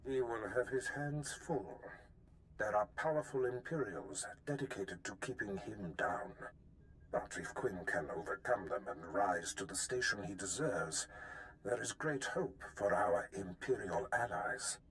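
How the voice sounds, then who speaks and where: Stern commanding game mentor, kinda low voice, formal and authoritative. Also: male voice, animated, unhurried, fairly bright tone, poor audio. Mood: grave. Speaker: game character. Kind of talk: video game voice acting.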